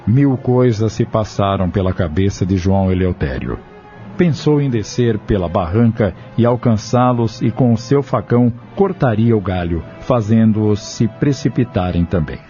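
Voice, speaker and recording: A man narrating a scripted audio drama in a measured, dramatic storytelling manner. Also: fairly low pitch, lively intonation, dark tone. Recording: dull lo-fi audio with music underneath.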